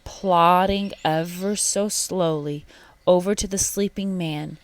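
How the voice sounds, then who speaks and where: A woman reading a children's storybook aloud in an expressive narrating style. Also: on the low side, slow pace. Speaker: storybook reader.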